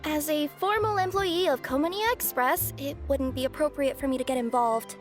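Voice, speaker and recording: A woman's voice: high voice, normal speed, natural voice. A woman voicing a polite, anxious young courier in a video game, youthful, earnest and a little hesitant. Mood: worried. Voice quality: youthful, girlish. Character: anxious, polite young female courier, fretting over her friend. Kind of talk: video game character acting. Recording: music playing behind the voice.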